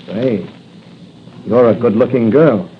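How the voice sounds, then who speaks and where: Guy doing old time radio masked hero, cool n brisk, medium pitch, dull old recording. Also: lightly acted. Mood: cool, curious. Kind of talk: 1940s radio acting. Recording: muffled noisy 1940 radio recording.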